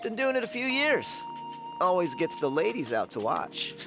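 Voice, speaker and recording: A man's voice: high-pitched, sing-song, moderate pace, music in the background. A man voicing a boastful, breezy young tribesman dancer in a video game. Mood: showing off.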